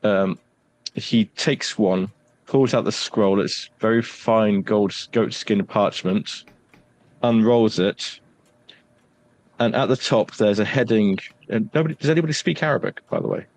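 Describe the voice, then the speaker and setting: A man narrating a tabletop horror game as game master, deliberate and pausing for suspense. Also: on the low side.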